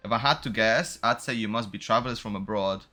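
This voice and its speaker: A man's voice: on the low side, fast, pushed and bright. A man giving casual, joking game commentary with slang and swearing, sometimes reading dialogue aloud. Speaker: game streamer.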